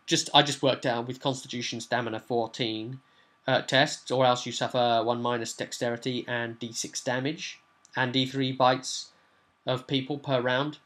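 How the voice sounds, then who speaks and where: A man's voice: medium pitch, level tone, normal speed, a bit bright. A man talking informally and at length as a game master explaining a tabletop session. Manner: rambling, informal. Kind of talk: casual explanatory vlog talk.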